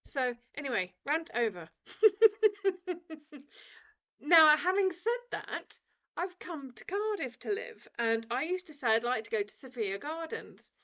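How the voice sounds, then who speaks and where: A woman radio host in her forties, chatting casually and ranting with animated, laughing energy. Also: high-pitched, a little slow, pauses often, highs cut off. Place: radio studio.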